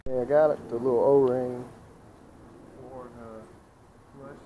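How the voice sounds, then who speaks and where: A man's voice: mid-range voice, steady tone, measured pace, mellow. Man in noisy outdoor audio. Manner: folksy, thinking aloud while working. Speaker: DIY mechanic vlogger. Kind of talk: casual hands-on repair vlog. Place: outdoors on a residential driveway. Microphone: camera mic at changing distance.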